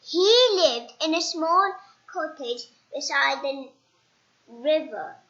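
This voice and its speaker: A child reads a picture story aloud in a bright, high voice. The pace is slow and halting, with restarts. The sound is slightly dull and lo-fi. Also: animated. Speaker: storybook reader. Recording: dull lo-fi home recording.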